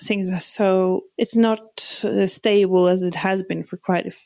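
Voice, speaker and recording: A woman from Norway, a non-native English speaker, talking reflectively as a podcast guest, often pausing and restarting her sentences. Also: medium pitch, steady tone, vocal fry, darkish tone, no room sound. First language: Norwegian. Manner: thoughtful, hesitant, restarts sentences. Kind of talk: reflective interview talk.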